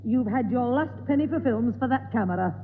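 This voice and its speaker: Woman playing a high-voiced, scolding stern mother. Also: animated, brisk, close to an everyday voice. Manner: scolding, emphatic. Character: stern, exasperated mother laying down the law. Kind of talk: 1960s radio sitcom acting. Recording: tinny, echoey, noisy old radio broadcast.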